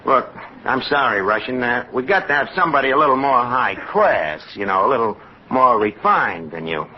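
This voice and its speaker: An actor playing a wisecracking, uneducated tavern manager with a New York accent and a mock-genteel, sarcastic manner in 1940s radio comedy. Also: male voice, mid-range voice, expressive, moderate pace, lightly acted. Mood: wry. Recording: muffled, hissy old-time radio recording.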